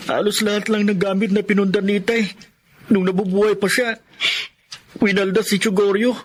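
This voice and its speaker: A man's voice: high-pitched, flat delivery, normal speed, slight background noise, close to an everyday voice. A man playing an indignant, protective young brother in a radio drama, earnest and protesting. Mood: hurt, indignant. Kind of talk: Tagalog radio drama acting.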